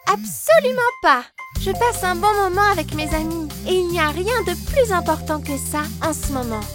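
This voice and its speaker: Very high-pitched diva cartoon pup. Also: female voice, lively intonation, moderate pace. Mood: assured. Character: vain but repentant young female influencer pet dog. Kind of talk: children's cartoon dub. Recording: music behind the voice.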